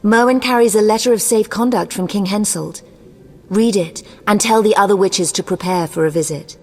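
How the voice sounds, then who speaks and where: A woman's voice: mid-range voice, normal speed, a little mellow, lightly acted. A woman playing an imperious, ruthless sorceress in a video game, haughty, formal and commanding. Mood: formal, clipped. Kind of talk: scripted video game dialogue. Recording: long cavernous reverb.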